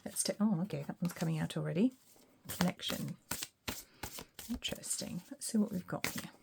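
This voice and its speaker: A woman reading tarot cards in a relaxed solo session, her voice a little weak and hoarse, musing aloud with frequent ums. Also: lowish voice, moderate pace, background noise. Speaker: tarot reader.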